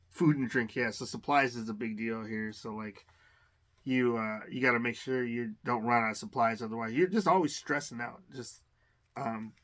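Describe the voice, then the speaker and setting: A man's voice: mid-range voice, sharp tone. A man giving a casual, rambling unboxing commentary on a tabletop game, full of fillers and restarts.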